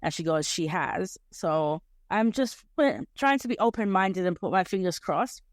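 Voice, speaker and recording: A woman co-hosts a podcast and chats casually, with hesitant restarts. Her voice sits on the low side, and the recording is dry and close. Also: animated, measured pace, dry recording. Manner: chatty, conversational, with hesitant restarts. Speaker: podcast co-host. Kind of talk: casual chat.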